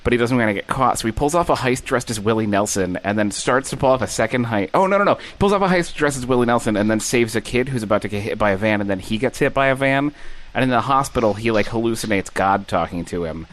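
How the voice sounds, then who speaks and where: A man's voice: mid-range voice, fast. A man co-hosting a casual podcast, wry and rambling as he retells a film. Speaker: podcast co-host.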